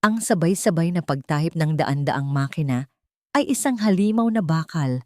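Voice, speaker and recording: A woman reading a scripted first-person dramatic story in a measured, solemn manner. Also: deep voice, lively intonation, mellow, dry recording, studio quality. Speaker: narrator of an audio story.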